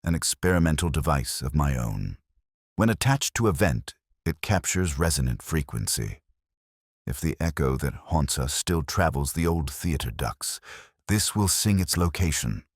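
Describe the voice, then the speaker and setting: A man voicing a grave, incisive gentleman detective in an audiobook, deliberate and commanding. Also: extremely low pitch, very animated, no echo, studio quality, exaggerated voice. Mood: explanatory. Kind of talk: audiobook character acting.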